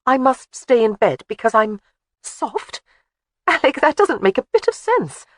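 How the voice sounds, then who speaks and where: A woman's voice: sits high, big pitch swings, measured pace, no echo, studio quality, pitched above the performer's own voice. A woman voicing a spirited young wife in an audiobook who is cheerful, stubborn and insistent.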